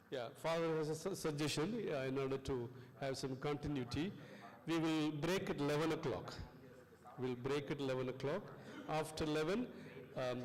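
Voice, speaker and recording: South indian guy running a class, halting and repeats himself, big reverby hall. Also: mid-range voice. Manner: halting, repetitive. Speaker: lecturer and session host. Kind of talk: informal spoken classroom instructions. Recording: long echoing hall reverb.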